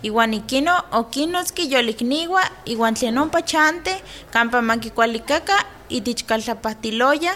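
An actress playing a lively village woman sharing health advice in a scripted radio drama. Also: a little high, measured pace, slight background noise. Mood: earnest.

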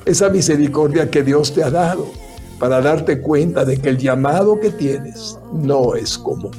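A man's voice: fairly high pitch, expressive, unhurried, mellow, soft background music. A man hosting a religious radio program, speaking in a solemn, affirming, sermon-like way to his guest. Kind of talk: religious broadcast interview.

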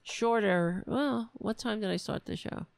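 A woman with a gravelly, fraying voice works through an angry, profane rant as host of her own commentary show, taking her time over each point. She is plainly losing her voice, and the sound is close and dry. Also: mid-range voice, measured pace, no room sound. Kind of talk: solo political commentary show.

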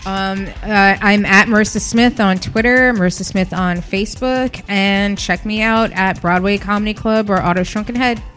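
A woman's voice: mid-range voice, fairly even intonation, normal speed, hardly any pauses, fairly bright tone, soft background music. A woman comedian who produces shows, talking casually and frankly on a podcast. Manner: frank, chatty, with fillers.

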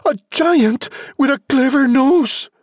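A man voicing an eager, cheeky and naive young boy in a high, boyish voice, earnest and exclaiming. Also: lively intonation, slow pace, dry recording, clean studio sound, heavily acted. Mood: frightened.

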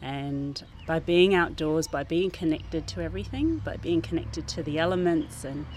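A woman's voice: low-pitched, lively intonation, normal speed, a little mellow, slight background noise. A woman who works as a garden teacher, explaining thoughtfully and earnestly in an informal interview outdoors. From New Zealand. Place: outdoors, under a gazebo in a school garden.

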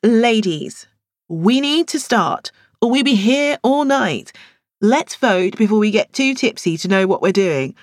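A firm, organised woman chairing a club voiced by a female audiobook reader. She speaks insistently in a raised, animated pitch, recorded dry. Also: measured pace, dry recording. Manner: orderly, insistent, explanatory.

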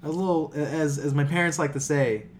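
A man's voice: a little high, a little fast, slight background noise. An American man co-hosting a podcast in casual, comedic chat, telling stories with plenty of swearing. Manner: animated, profane storytelling. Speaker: podcast co-host.

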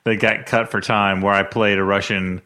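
Low-voiced hesitant man clearing his throat. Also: flat delivery, moderate pace, sharp tone. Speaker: podcast guest. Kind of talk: casual chat.

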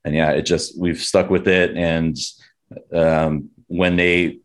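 A man's voice: deep voice, level tone, slow. A man with a Californian accent telling stories casually in an informal, rambling, slangy way. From San Diego, United States. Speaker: metal band vocalist, interview guest. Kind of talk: casual chat, storytelling.

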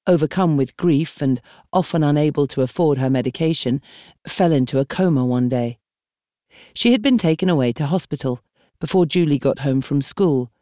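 A woman reading a novel as an audiobook narrator, in measured, expressive storytelling. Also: sits low, no room sound, studio quality. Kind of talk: scripted literary narration. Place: dry studio.

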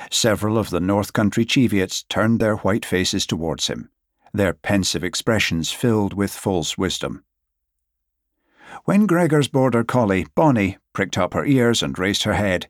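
A man narrates literary fiction in a lowish voice. The recording is dry and close, with very little room around the words, as suits an audiobook. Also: expressive, moderate pace, dry recording.

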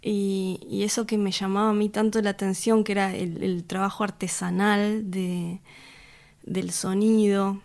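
A woman answers interview questions about her music. She speaks thoughtfully and hesitantly, often pausing on fillers and restarting her sentences, and laughs easily between thoughts. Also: medium pitch, level tone, darkish tone. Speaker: musician and record producer. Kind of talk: casual interview conversation.

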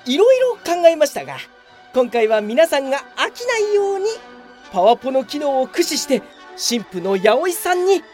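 A woman's voice: high-pitched, very animated, normal speed, darkish tone, soft background music. A woman playing a brazen, oblivious, self-satisfied veteran office worker with a pompous manner in a comedic radio drama. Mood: brazen showmanship. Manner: pompous, grandly self-promoting.

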